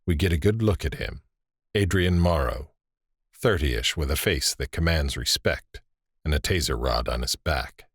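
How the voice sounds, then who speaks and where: Very deep, husky male narrator. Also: expressive, normal speed, no room sound, clean studio sound. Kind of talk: scripted audio drama narration.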